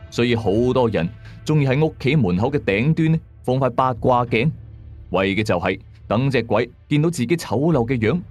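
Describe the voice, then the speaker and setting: A man narrating a scripted first-person horror story, measured, with conversational asides. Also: medium pitch, a little mellow. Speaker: audio drama narrator. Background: background music.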